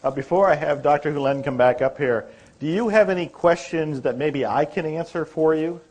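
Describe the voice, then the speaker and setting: A man's voice: fairly high pitch, moderate pace, a little mellow. A man presenting a seminar and answering audience questions in a friendly, conversational way in a meeting room. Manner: friendly, conversational, chuckles easily. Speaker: presenter and author.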